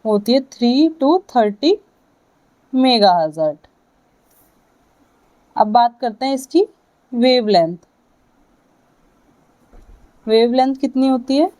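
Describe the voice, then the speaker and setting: Slow-paced, higher-pitched Indian woman lecturing. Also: expressive, mellow, low-quality recording. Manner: deliberate, halting phrase-by-phrase delivery, often checking in. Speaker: physiotherapy lecturer. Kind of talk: lecture explaining to students, dictating key points.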